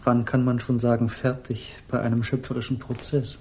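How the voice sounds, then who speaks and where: A man's voice: mid-range voice, level tone, moderate pace, warm tone, slight background noise, natural voice. An actor playing a dreamy male hippie guru and would-be poet, solemn and pretentious, in a 1960s German radio drama. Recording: prominent sitar music behind. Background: sitar music.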